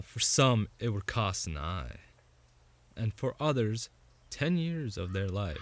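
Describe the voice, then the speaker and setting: A sardonic spirit of death played by a man in a radio drama. The voice sits fairly low, and he speaks in a deliberate, mocking way. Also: slight background noise, full character voice.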